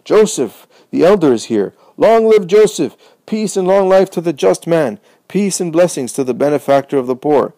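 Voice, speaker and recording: Rowdy crowd of poor townsmen yelling insults, pitched up and loud, dark voice, one man doing it. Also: sing-song, slow pace, gravelly, hardly any pauses, no echo, exaggerated voice. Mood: jubilant. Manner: shouted insults and cries.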